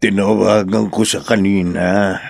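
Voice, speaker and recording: A man acting an ailing, gentle older father with a husky, gravelly voice in a Filipino radio drama. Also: lowish voice, little pitch movement, measured pace. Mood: gentle, weary.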